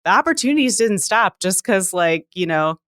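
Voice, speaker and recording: A woman's voice: mid-range voice, animated, moderate pace, fairly bright tone, no echo, studio quality. A woman in her late thirties chatting casually on a podcast, telling stories by acting out her own thoughts. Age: late 30s. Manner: chatty, self-quoting storytelling.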